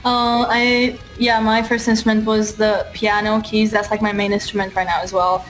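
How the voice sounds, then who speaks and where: A woman, giving brief, soft-spoken interview answers. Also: mid-range voice, level tone, moderate pace, soft background music, poor audio. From Romania. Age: 20s. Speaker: keyboardist in a rock band.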